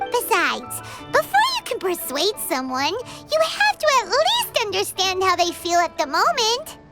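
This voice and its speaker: A squeaky bratty fairy sidekick. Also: female voice, full character voice. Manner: whiny, teasing, refers to herself in third person. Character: tiny floating fairy companion, bratty and excitable. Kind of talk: game cutscene voice acting.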